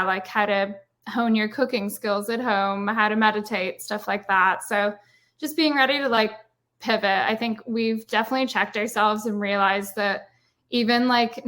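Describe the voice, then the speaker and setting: Irish woman, bright tone, chatty filler-heavy guest on a video call. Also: medium pitch. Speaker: guest speaker, brand marketer. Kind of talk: casual webinar interview.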